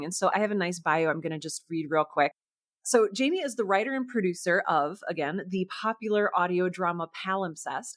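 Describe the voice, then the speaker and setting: A woman hosting an interview podcast in an enthusiastic, chatty, conversational way. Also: on the low side. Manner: effusive, chatty. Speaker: podcast host.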